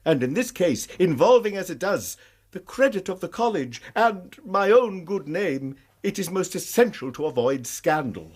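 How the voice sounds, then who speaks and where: Anxious, husky English college don. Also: male voice, sits high, sing-song, moderate pace, full character voice. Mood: anxious, urgent. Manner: agitated, formal, donnish. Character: anxious, proud male college lecturer fearing scandal. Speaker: actor in a radio drama. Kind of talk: British radio drama acting.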